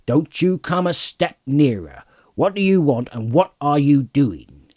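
A man's voice: lowish voice, sing-song, measured pace, warm tone, dry recording, clean studio sound. Man voicing a blunt, hearty old country farmer. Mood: bold challenge. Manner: rustic, blunt, homely turns of phrase. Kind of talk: audiobook character voice.

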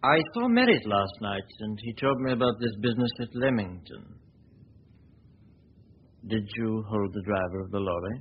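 An actor playing a confident, self-assured writer turned detective, in 1940s radio acting. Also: male voice, on the low side, lively intonation, moderate pace. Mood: cool. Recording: dull lo-fi 1940s radio recording with faint hiss.

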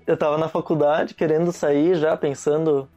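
A young man with a mellow, slightly raised voice tells his stories at an easy pace. He talks loosely and in slang, the way people do with a friend. Faint music plays behind him. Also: a little high, level tone, normal speed. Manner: easygoing storyteller, slangy, laughs often. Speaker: guest. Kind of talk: casual chat.

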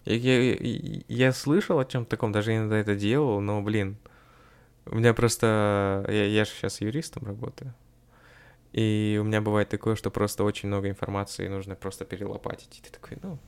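Young guy podcast host, lowish gravelly voice, halting casual chat. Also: flat delivery. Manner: halting, repeats words.

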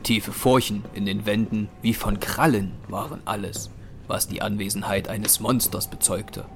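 A man with a fairly low voice narrates an audio drama. His delivery is measured and serious, with music clearly playing underneath. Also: steady tone.

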